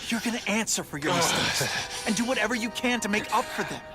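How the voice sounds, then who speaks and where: An actor playing an earnest, quippy young masked superhero in a video game cutscene, speaking conversationally with loud music over him. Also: male voice, sits high, expressive, brisk. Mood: earnest reproach.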